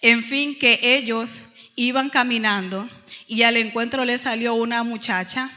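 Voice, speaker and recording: Middle-aged Dominican woman, Bible class teacher; bright tone, reverberant hall, muffled recording. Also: a little high, fairly even intonation, a little slow. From Dominican Republic. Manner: storytelling with many hesitations. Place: church hall. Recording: dull lo-fi church recording with long reverb.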